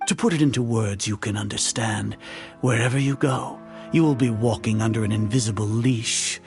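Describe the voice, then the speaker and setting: Casting: arrogant elder sage, gravelly, pompous and cold, game dialogue with music under it. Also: male voice, on the low side, moderate pace, darkish tone. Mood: condescending. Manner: condescending, lecturing, grandiose. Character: haughty scholarly male leader, older, contemptuous. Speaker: grand sage of an academy. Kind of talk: video game voice acting.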